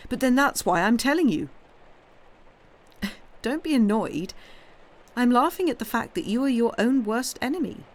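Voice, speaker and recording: A woman voicing a young woman in an audiobook, frank and guarded, gently teasing, with a hesitant delivery. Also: fairly high pitch, animated, moderate pace, pauses often, pitched above the performer's own voice, natural voice. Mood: amused, frank. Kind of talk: dramatised audiobook reading.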